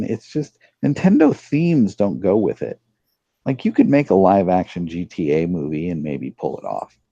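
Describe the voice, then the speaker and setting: A man talking casually as a panelist on a podcast, somewhat halting as he thinks aloud. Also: sits low, vocal fry, warm tone, no echo, muffled recording. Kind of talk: casual discussion.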